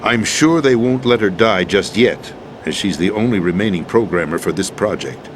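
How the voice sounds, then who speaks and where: An actor playing an older male president in a video game cutscene, measured and conspiratorial as he explains, urgent near the end. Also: on the low side, lively intonation, background noise, lightly acted. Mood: grave, explaining. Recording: loud game score behind.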